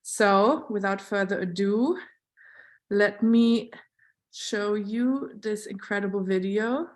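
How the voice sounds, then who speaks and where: A woman's voice: mid-range voice, slow pace, darkish tone. A woman moderating a live online panel, chatty and upbeat, with frequent fillers and asides. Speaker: event moderator.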